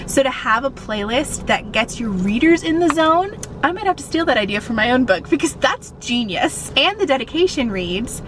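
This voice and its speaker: A young woman chatting casually as a book vlogger, expressive and a little self-deprecating. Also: sits high, moderate pace, noisy background. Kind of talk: casual vlog chat.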